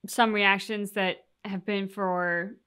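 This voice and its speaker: A woman with a medium-pitched voice chats casually as co-host of a reaction channel. She reasons things through reflectively and explains at length in measured phrases. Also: flat delivery, bright, forceful tone, smooth. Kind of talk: casual discussion of a video game story.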